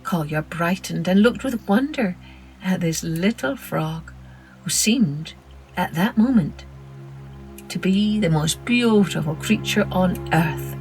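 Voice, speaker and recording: A woman narrating a children's story in an expressive, dramatic storytelling manner. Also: fairly low pitch, takes it slowly, soft background music, poor audio. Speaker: storyteller, podcast host.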